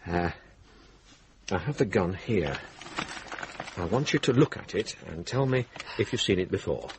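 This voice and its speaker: An actor playing a courteous, measured police inspector who quietly probes, in a radio drama. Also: male voice, low voice, lively intonation, noisy background. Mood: polite, probing.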